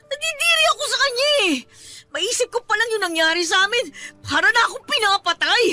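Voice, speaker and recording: A high, piercing Filipino-accented female voice acted by a woman plays a distraught pregnant daughter in a radio-style drama. She is defiant and emphatic, pleading with raw feeling, with music underneath. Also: animated, brisk, bright, forceful tone, heavily acted. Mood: anguished.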